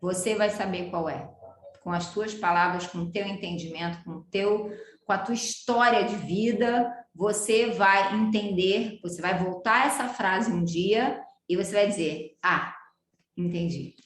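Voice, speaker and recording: Woman, Brazilian Portuguese accent, yoga teacher, online lecture, lively, questioning, roomy echo. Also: mid-range voice, measured pace. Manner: warm, animated, asks students questions. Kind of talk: live online class lecture.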